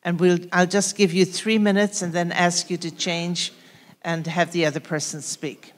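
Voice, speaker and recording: A woman in her sixties speaks from a conference stage into a handheld mic, gently guiding her audience through questions. Her voice sits a little low and she talks in an even, unhurried way. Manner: calm, gentle prompting with soft fillers. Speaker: conference presenter. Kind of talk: workshop presentation. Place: conference hall stage at a podium.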